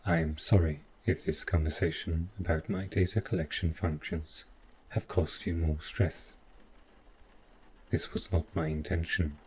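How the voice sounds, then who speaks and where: A very deep-voiced robot butler, acted out by a man, calm and devoted. He speaks in stiff, precise, deferential phrases, like a servant in a sci-fi audio drama. A steady noise sits behind the voice. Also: unhurried. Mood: apologetic, formal. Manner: formal, stiffly precise, deferential, avoids contractions. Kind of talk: scripted roleplay audio drama.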